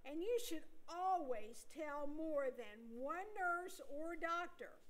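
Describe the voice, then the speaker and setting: An older woman playing a meddling, tactless but doting relative in an amateur play reading, measured and earnest. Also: high voice, lively intonation, poor audio. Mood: cautioning.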